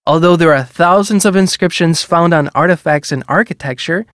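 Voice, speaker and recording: An actor playing a museum audio guide, reading scripted educational narration for a video game in a measured, formal way. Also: male voice, fairly high pitch, fairly bright tone, dry recording, clean studio sound, natural voice. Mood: formal, instructive.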